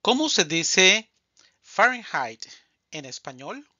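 Slow, deliberate male Spanish teacher. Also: sits high, sing-song, pushed and bright, no room sound, dull audio. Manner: slow, deliberate, says each answer twice. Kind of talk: scripted vocabulary drill.

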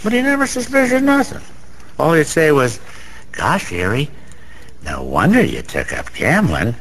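An actor playing a boozy, sentimental, boastful small-time gambler in a radio drama, rambling in old-fashioned street slang with a gravelly voice. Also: male voice, fairly high pitch, wildly swooping pitch, normal speed, a bit bright, full character voice. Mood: boastful, fond. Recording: faint background noise.

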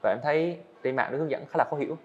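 A man's voice: a little high, very fast, a little mellow, highs cut off. A young man, a guest animator, earnestly explaining his work step by step in a casual interview on a studio set.

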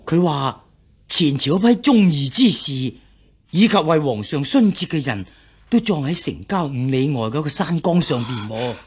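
Very husky, loyal manservant. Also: female voice, low voice, animated, a little mellow. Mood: flustered, excited. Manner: obedient, humble, halting. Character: loyal, deferential male household servant. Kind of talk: Cantonese historical radio drama acting.

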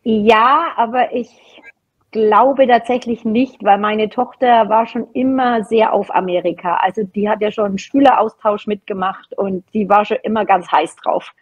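A woman's voice: medium pitch, fairly even intonation, normal speed, dull audio. A woman in her fifties from Franconia, talking casually as a podcast guest on a video call. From Franconia, Germany. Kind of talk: casual interview chat. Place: room at home. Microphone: video call with wireless earbuds.

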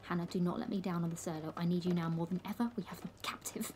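Woman acting excitable choir leader over noisy ambience. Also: fairly low pitch, fast, natural voice. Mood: conspiratorial, urgent. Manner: theatrical, eager, urgent. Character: bossy, excitable woman leading a village carol choir. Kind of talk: audio drama acting. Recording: noisy train carriage ambience.

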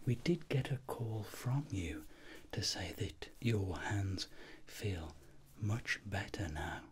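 A middle-aged man speaking in a breathy whisper, slow and reassuring, in an ASMR roleplay as a doctor examining a patient. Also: on the low side, lively intonation, slight background noise. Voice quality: breathy whisper, soft-spoken. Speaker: ASMR performer acting as a doctor.

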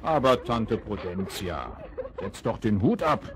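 A man's voice: fairly low pitch, big pitch swings, measured pace. A man playing a jovial father running games at a children's party in a 1970s radio play. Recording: hissy old vinyl record.

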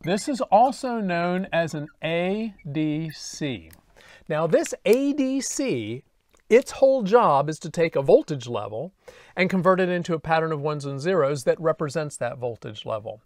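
A man's voice: fairly high pitch, very animated, a little slow, darkish tone, dry recording. A man in his fifties lecturing in a conversational, step-by-step way, posing questions as he explains, on a lapel mic. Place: indoor studio with dark backdrop.

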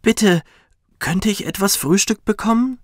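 A man's voice: high voice, a little slow, no echo, studio quality, pitched above the performer's own voice. A man voicing a polite, eager young boy in a children's fairy tale. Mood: polite pleading.

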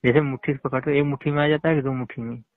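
A man's voice: mid-range voice, steady tone, rapid-fire, no echo. Man on a tinny phone line. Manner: insistent, repeatedly questioning. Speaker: phone caller. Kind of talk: casual phone conversation.

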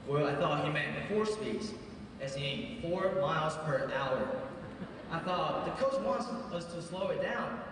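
A young man giving a graduation speech at a podium, telling self-mocking stories. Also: on the high side. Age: young adult. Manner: storytelling, self-mocking humor. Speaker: graduating student speaker. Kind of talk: commencement speech. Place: large arena graduation ceremony. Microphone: podium mic heard from far off. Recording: echoey arena, distant mic, hiss.

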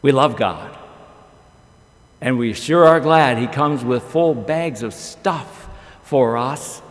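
A man's voice: mid-range voice, slow pace. A middle-aged man preaching a chapel sermon at a podium microphone, telling stories with wide gestures and rhetorical questions. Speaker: pastor. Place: large chapel with long reverb. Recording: echoey chapel hall.

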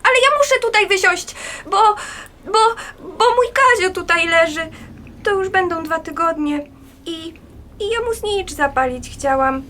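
Woman acting a plaintive, high-voiced old widow. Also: lively intonation, unhurried, bright, forceful tone, slight background noise, exaggerated voice. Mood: grieving, pleading. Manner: pleading, plaintive. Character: frail, grieving, lonely elderly widow. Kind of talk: radio drama acting.

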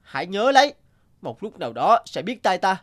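A man's voice: high voice, very animated, moderate pace. A man voicing a good-natured, earnest otter in a children's cartoon. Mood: scheming. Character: honest, good-natured otter, later quietly vengeful.

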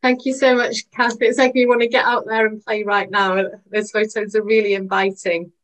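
A woman moderating a panel, briskly thanking one speaker and introducing the next. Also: medium pitch, little pitch movement, poor audio.

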